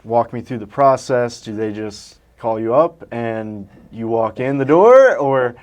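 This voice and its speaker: A man's voice: medium pitch, animated, unhurried, a little mellow. Easygoing man hosting an interview. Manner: easygoing, encouraging. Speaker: podcast host. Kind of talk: casual interview.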